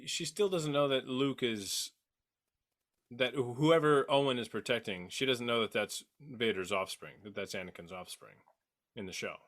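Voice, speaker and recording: A man debating casually as a podcast panelist, haltingly restarting his thoughts as he argues. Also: mid-range voice, fairly bright tone. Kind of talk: casual debate about a TV series.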